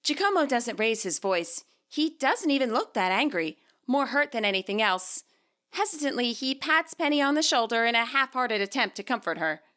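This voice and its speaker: A woman narrates a scripted story of her own. Her voice is bright and forceful, on the high side, and moves expressively up and down as she reads. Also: big pitch swings, normal speed. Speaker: author and narrator.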